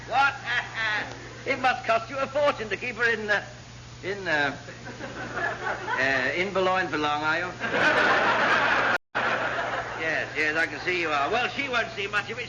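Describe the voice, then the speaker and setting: A man playing an amiable, bumbling commander with an upper-class English accent, rambling absent-mindedly and chuckling in a radio sitcom. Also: high-pitched. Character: amiable, bumbling, tipsy-sounding accident-prone posh male commander. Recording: muffled, noisy vintage radio recording.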